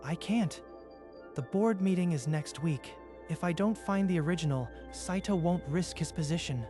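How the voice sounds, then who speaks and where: A man's voice: a little high, normal speed, music in the background, natural voice. A man voicing an anxious, earnest young underdog in a dramatized manga dub, speaking with intensity. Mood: determined.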